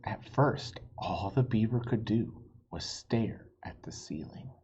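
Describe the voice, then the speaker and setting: A man reads a children's storybook aloud expressively, pausing for simple asides and questions to young listeners. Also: on the low side, expressive, darkish tone, husky, in a whisper, highs cut off. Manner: asks the listeners questions, explains words simply.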